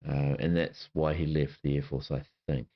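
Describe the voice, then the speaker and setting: Deep-voiced New Zealand man, halting. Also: level tone, dull audio. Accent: New Zealand, softened by years abroad. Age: 50s. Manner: halting, many fillers and self-corrections. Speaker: channel host. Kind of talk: casual self-introduction to camera. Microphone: laptop with webcam and desk microphone.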